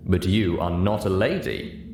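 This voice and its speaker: Man voicing a pompous low-voiced old unicorn prince. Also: a little slow, darkish tone. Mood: dismissive. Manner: pompous, self-admiring. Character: haughty, vain elderly unicorn stallion prince. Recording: roomy echo, clear background hiss.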